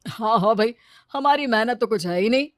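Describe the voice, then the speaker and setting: A woman voicing an arrogant, fickle husband, boastful and wheedling, in an animated story. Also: a little high, a little fast, no room sound, studio quality, lightly acted. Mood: scornful. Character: arrogant, fickle, money-proud husband, easily smitten.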